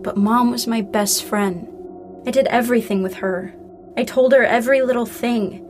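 A woman's voice: a little high, steady tone, normal speed, darkish tone, natural voice. A woman playing a young, grieving daughter, wounded and earnest, in a naturalistic audio drama. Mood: hurt, grieving. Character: grieving daughter, wounded, angry yet willing to reconcile. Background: music.

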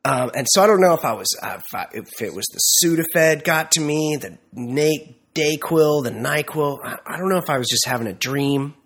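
A man's voice: a little high, animated, moderate pace, few pauses, fairly bright tone, slightly rough. A man co-hosting a casual comedy podcast, nasal and congested from a cold, teasing his co-host in a dry mock-formal way. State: sick, stuffy and nasal.

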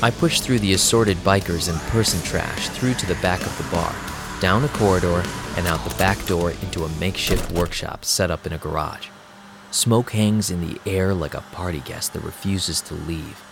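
A young vampire DJ telling his own story in an audio drama. The voice is very low, with music clearly underneath. He is casual, wry and quick to sarcasm. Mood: uneasy, brooding. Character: young male vampire DJ, streetwise, sarcastic narrator. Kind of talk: first-person audio drama narration and dialogue. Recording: music behind the voice.

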